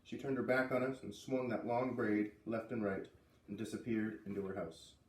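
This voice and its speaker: A man's voice: fairly low pitch, flat delivery, moderate pace, a little mellow. A man hosting a read-aloud, narrating a children's book. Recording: echoey room, distant mic, faint noise.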